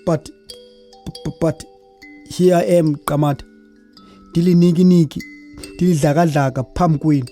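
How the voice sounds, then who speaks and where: A woman's voice: deep voice, fairly even intonation, moderate pace, pauses often, warm tone, faint music behind, close to an everyday voice. A woman with a South African accent playing a lazy, apologetic small male dassie in children's audio theatre, stammering and pleading. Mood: eager, flustered.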